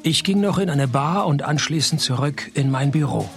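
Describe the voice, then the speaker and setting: An actor playing a jaded private detective in a radio play, with a dry, sardonic, deadpan delivery. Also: male voice, a little high, normal speed, gravelly, soft background music. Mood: dry narration. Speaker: detective narrator and lead. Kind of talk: radio play narration and dialogue.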